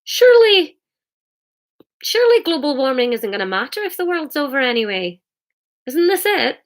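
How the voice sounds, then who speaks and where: An anxious village man played by a woman from a storybook, full of questions. The voice is pitched up high and jumps around with urgency. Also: sing-song, normal speed. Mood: anxious, insistent. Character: anxious, questioning male villager following along.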